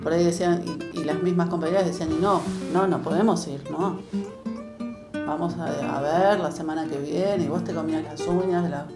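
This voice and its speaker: A woman's voice: lowish voice, steady tone, moderate pace, over loud music. A woman with an Argentine accent recollecting in a reflective, conversational interview. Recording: roomy echo.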